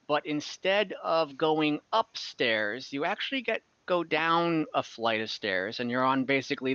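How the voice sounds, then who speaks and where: Male game master, forceful and slightly high, improvising fantasy scenes in a halting, rambling way; dry, slightly muffled recording. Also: clear, resonant tone, no room sound. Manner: halting, rambling, self-correcting. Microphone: online voice call, close microphone.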